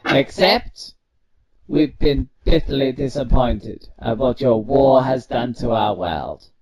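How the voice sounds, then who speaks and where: An actor playing a proud, bitter robot civilian leader in an audio drama, with formal, accusatory speech. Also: male voice, medium pitch, takes it slowly, natural voice. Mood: bitter, accusing.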